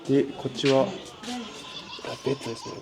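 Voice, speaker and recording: A man's voice: medium pitch, level tone, quick pace, over music. A man from Japan, narrating a handheld travel vlog and chatting upbeat with people in simple Spanish and English. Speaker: travel vlogger. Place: outdoors at a migrant shelter by railway tracks.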